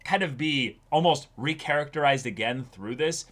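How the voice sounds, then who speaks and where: A man's voice: on the high side, normal speed, a bit bright. A man, around his thirties, chatting casually as a show co-host and repeating words emphatically. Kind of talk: casual discussion of an anime episode. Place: small indoor room with backdrop, seated.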